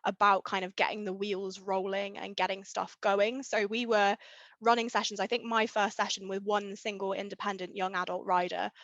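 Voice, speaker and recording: An eager young woman with a loud voice chats casually about her coaching, filling her sentences with ums and kind-ofs. Her voice sits at a middling pitch. The sound is dry and a little dull, with no room echo. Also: level tone, moderate pace. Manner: chatty, many fillers like um and kind of; enthusiastic. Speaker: riding coach and blogger, interview guest. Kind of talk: casual interview chat.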